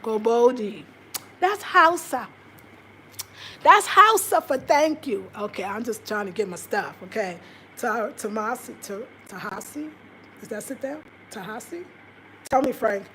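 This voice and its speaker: An American woman in her fifties chatting casually and expressively to viewers, telling stories in an animated, conversational way. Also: a little high, unhurried, a little hiss or hum. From United States. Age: 50s. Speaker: vlogger. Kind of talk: casual livestream chat, storytelling to viewers.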